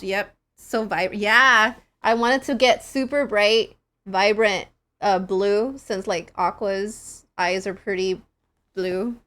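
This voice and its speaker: A woman's voice: fairly high pitch, a bit bright. A young woman chatting informally and casually to viewers on a live game stream, with an easygoing sweary, giggly manner. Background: game music.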